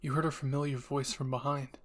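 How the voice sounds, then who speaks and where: A man's voice: medium pitch, little pitch movement, fairly quick, a bit bright. A man narrating a scripted second-person story as the storyteller of a listener roleplay.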